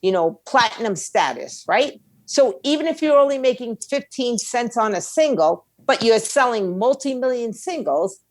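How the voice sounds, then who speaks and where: A woman's voice: fairly high pitch, expressive, moderate pace, few pauses, no echo. A woman giving opinionated interview answers in a blunt, emphatic way, with slangy phrasing and rhetorical questions. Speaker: music industry attorney and indie label owner, interview guest.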